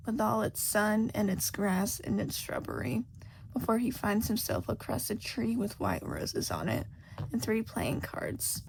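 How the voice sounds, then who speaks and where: A woman's voice: fairly high pitch, vocal fry, a little mellow, poor audio. A woman reading a scripted story aloud as an amateur audiobook narrator. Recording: faint background noise.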